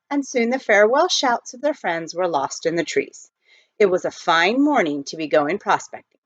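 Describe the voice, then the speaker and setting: A woman reading a children's novel aloud in an expressive storytelling style. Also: medium pitch. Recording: dull lo-fi home recording.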